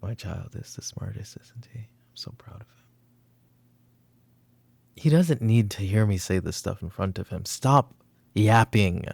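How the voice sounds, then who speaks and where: Over background music comes a weary, short-tempered mafia boss, acted by a man in a roleplay ASMR drama. He speaks slowly and commandingly, in a gravelly, breathy voice suited to a proud, possessive father. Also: on the low side, lively intonation, dry recording, heavily acted. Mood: hushed, tender, then angry, commanding. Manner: commanding, curt, slipping into hushed muttering.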